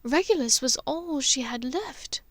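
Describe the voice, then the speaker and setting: A woman's voice: high-pitched, expressive, unhurried, dry recording, voice pushed up. A woman voicing a refined, reflective mother in an audiobook, speaking hesitantly and confidingly. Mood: sad, reflective. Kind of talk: audiobook character dialogue.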